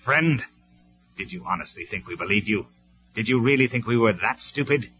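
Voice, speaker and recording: A man voicing a calm male robot leader who speaks with quiet, measured authority in a storybook reading. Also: lowish voice, natural voice. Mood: scornful. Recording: slightly dull lo-fi recording with faint music.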